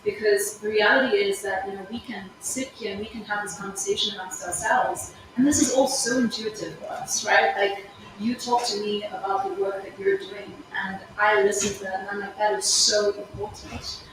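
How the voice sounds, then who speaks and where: A woman's voice: medium pitch, normal speed. A woman speaking on a panel discussion in an earnest, reflective way, asking many rhetorical questions. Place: large conference room at an event. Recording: echoey room, distant mic, background noise.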